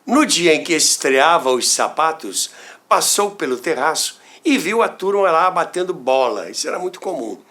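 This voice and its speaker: An older man with a Brazilian accent tells anecdotes in a theatrical, deliberate way, with dramatic pauses and asides to the listener. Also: fairly high pitch, very animated, a bit bright. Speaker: storyteller, former radio worker. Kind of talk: animated anecdotal storytelling podcast narration.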